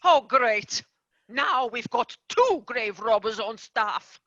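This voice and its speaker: A woman's voice: fairly high pitch, expressive, slow pace, pushed and bright, no echo, muffled recording, exaggerated voice. A woman playing a prim, formal female tooth fairy boss who is horrified by her staff. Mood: aghast. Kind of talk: stage play performance.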